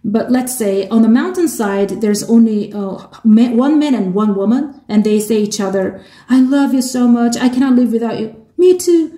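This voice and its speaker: A woman with a Korean accent interpreting a sermon into English, measured and halting, with restarts. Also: on the high side, dark tone, echoey room.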